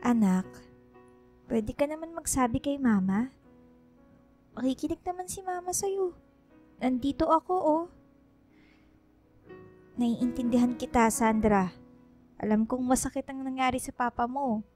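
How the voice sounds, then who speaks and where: A woman's voice: high-pitched, unhurried, pauses often, darkish tone, soft background music. An actress playing a loving, worried mother in a Filipino radio drama, speaking in a pleading, soothing, emotional way with a Filipino accent. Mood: comforting. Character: loving, worried mother urging her grieving daughter to stay strong.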